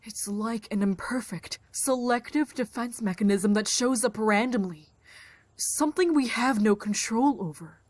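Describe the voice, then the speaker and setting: A woman plays a protective, troubled survivor in an apocalypse audio drama. The voice sits fairly high, with a brooding, deliberate delivery. It is recorded dry and close. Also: no echo. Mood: worried, reluctant. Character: protective, foul-mouthed, troubled adult survivor.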